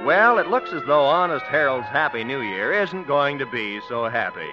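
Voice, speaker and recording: A man's voice: medium pitch, very animated, normal speed, music in the background. A man with an American accent announcing and narrating in scripted 1950s network radio style. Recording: muffled vintage radio broadcast.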